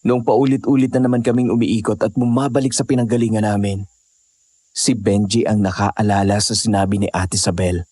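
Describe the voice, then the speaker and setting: A man's voice: lowish voice, little pitch movement, fairly quick, a little mellow, no room sound, studio quality, close to an everyday voice. A man playing an earnest, devoted young boyfriend in an audio horror drama. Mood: earnest, recounting.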